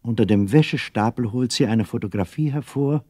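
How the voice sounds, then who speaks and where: A man narrating a children's radio play as an expressive storyteller with scripted delivery. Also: mid-range voice, moderate pace, dry recording, clean studio sound, lightly acted. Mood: storytelling.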